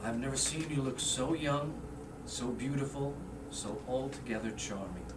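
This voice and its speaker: A woman's voice: a bass voice, moderate pace. A woman playing a devoted husband home from war in staged reader's theater, earnest and romantic. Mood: adoring. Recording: roomy, distant mic, faint noise.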